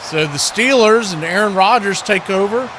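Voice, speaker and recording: A man calls a football game in radio play-by-play style, dropping into casual asides. His voice sits a bit high for a man. There is a steady layer of noise behind him. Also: moderate pace. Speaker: live sports play-by-play commentator.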